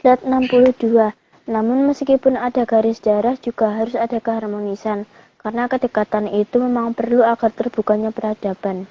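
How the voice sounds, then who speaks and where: Flat-voiced woman reading slides. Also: fairly high pitch, fairly quick, muffled recording. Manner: flat, even recitation. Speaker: slide reader. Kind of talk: scripted reading.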